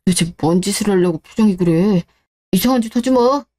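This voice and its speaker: A woman's voice: mid-range voice, a little fast, mellow, voice pushed down, natural voice. Woman doing a laid-back son in a radio drama, casual, kinda defensive, stubborn. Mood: alarmed. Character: easygoing adult son and husband, stubbornly self-reliant. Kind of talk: scripted Korean online radio drama.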